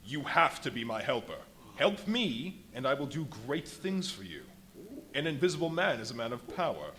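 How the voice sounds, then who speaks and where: Menacing invisible outcast, commanding, theatrical, widely swinging pitch, radio play, noisy live recording. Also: male voice, big pitch swings, moderate pace, fairly bright tone. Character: domineering invisible outcast man, desperate and menacing. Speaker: stage radio play actor. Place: live performance at a brewery venue.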